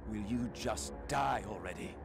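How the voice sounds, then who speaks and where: An actor playing an impatient, menacing villain who craves a throne, theatrical and threatening, in a video game cutscene. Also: male voice, lowish voice, a little slow, over music, lightly acted.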